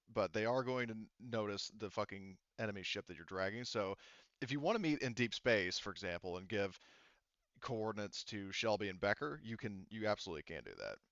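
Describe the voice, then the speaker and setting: A man with a clear, smooth voice works as game master in a casual tabletop session. He rambles and thinks out loud, swearing casually. The recording is close and dry, slightly dull. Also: fairly low pitch, animated, a little fast, no room sound.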